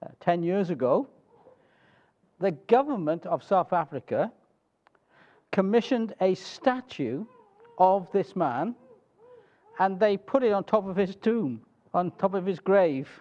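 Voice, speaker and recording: Middle-aged man, Welsh accent, higher-than-average pitch, halting conversational sermon storytelling, dull lo-fi recording. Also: expressive, a little mellow. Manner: halting, conversational storytelling with rhetorical check-ins. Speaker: church speaker, preacher. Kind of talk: informal sermon telling a missionary biography to a congregation.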